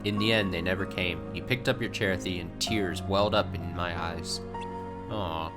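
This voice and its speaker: A man's voice: low voice, fairly even intonation, moderate pace. A man doing casual, sweary live commentary on game cutscenes, reading dialogue aloud and reacting with incredulity. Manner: sweary, incredulous, often laughing. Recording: game music playing behind. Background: video game cutscene music.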